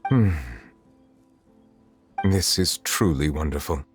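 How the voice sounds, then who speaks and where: A man voicing a dignified, reserved chief justice in an anime game, speaking formally and in measured phrases. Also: very deep voice, lively intonation, heavily acted. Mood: composed. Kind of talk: English anime game voice acting. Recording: music behind the voice.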